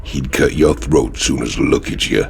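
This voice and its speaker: A gruff male outlaw soldier in a game, with an extremely gravelly, bottom-deep voice. He drawls slowly and bluntly, weary of the world. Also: full character voice. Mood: wary. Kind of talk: dramatic video game acting.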